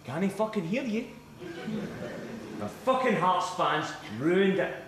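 A man's voice: high voice, animated, slow pace. A man playing an indignant, needling Scottish football rival who argues with sarcasm and swearing. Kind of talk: heated comic banter. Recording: very echoey, distant room, faint noise.

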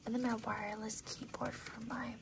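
A young woman losing her voice, whispering raspily in a casual, rambling ASMR desk tour, with lots of fillers. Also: fairly high pitch, flat delivery, normal speed, heavy background noise. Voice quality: raspy. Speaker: ASMR creator.

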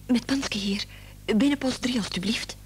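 An actress in a radio drama playing the distraught, reproachful wife of a gravely ill man. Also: fairly high pitch, a little slow, natural voice. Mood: anxious. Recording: old radio play, faint noise and hum.

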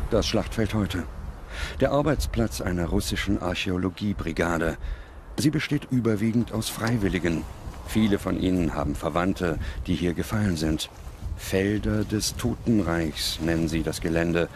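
A man reads scripted documentary narration in a measured, serious manner. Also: low voice, noisy background. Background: music.

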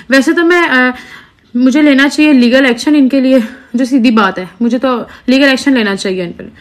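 A young woman, talking earnestly and indignantly to her followers into a phone held at arm's length indoors. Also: high-pitched. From Pakistan. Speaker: social media creator. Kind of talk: selfie video complaint to followers.

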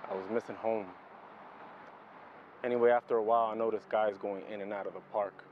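A man performing a troubled figure confessing a painful past in an emotive, poetic film monologue with pauses and hushed drops. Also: fairly low pitch, fairly even intonation, narrow, tinny audio, a little hiss or hum, lightly acted. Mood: brooding, reminiscing. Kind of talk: dramatic film monologue with rhymed spoken-word poetry. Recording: roomy, echoing amateur film sound.

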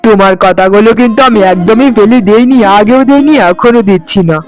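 An actor playing a blunt, impulsive, easily overwrought younger brother in a melodramatic cartoon story. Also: male voice, sits high, a little fast, natural voice. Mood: resentful, impatient. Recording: music under the voice.

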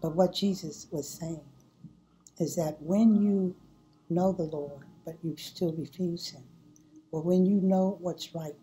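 Older woman, home sermon on webcam, low voice, unhurried with many pauses. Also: mellow, poor audio. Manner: slow and deliberate, pausing often with fillers. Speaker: church speaker. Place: home room, indoors. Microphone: webcam at close range.

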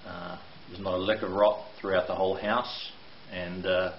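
A middle-aged man with a fairly low voice talks through a renovation walkthrough, pausing often with "um" and "uh" as he explains. He is recorded on a handheld camera mic in a bare brick basement that sounds roomy. The audio is dull and lo-fi with a faint hiss underneath. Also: level tone. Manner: explanatory, hesitant with fillers. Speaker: renovation contractor. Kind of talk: walkthrough video tour.

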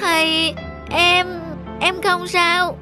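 A woman's voice: very high-pitched, level tone, drawn-out words, over music, full character voice. A woman voicing a polite, earnest, bookish little boy in a children's cartoon dub, speaking respectfully. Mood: apologetic.

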